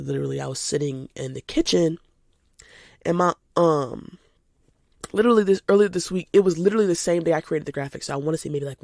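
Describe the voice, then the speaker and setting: An eighteen-year-old woman chats casually and spontaneously as a podcast host, animated and informal, slightly stuffy from a cold. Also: deep voice, gravelly, no echo, studio quality. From United States, lives in Georgia. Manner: animated, informal, addresses listeners as y'all. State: recovering from a cold.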